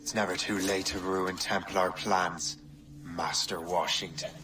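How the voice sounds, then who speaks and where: An actor playing a brooding, sardonic young assassin in a video game drama. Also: male voice, sits low, level tone, measured pace, poor audio. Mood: grim, sardonic.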